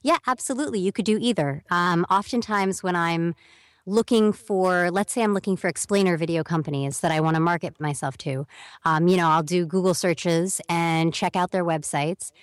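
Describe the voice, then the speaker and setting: A woman's voice: lowish voice, moderate pace, dry recording, studio quality. A young woman speaking as a guest on an interview podcast, explaining at length in a chatty way and acting out quoted messages. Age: young adult. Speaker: voiceover talent guest.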